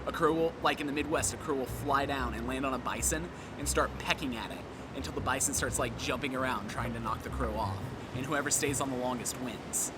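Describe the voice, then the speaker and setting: A man's voice: medium pitch, a little fast, hardly any pauses. Chatty man on a noisy street. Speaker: interviewee. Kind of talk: street interview answers. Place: outdoors on a city street. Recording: outdoor street noise, traffic. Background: loud street noise and music.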